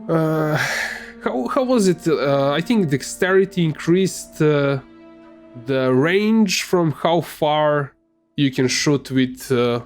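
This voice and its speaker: A man whose first language is Serbian, giving casual, halting commentary while playing a game, with many fillers and restarts. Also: fairly high pitch, expressive, faint music behind. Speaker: gamer.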